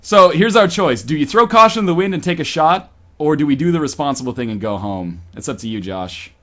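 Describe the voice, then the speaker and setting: A man's voice: a little high, a little fast. A man streaming, reading a book aloud with sarcastic, comedic commentary. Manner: sarcastic, animated.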